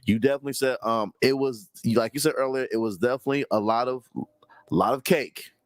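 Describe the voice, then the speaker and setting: A man's voice: medium pitch, fairly quick, no room sound. A man co-hosting a casual wrestling review, with a stuffy nose and a rambling way of talking full of likes and restarts. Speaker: co-host. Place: home in Houston.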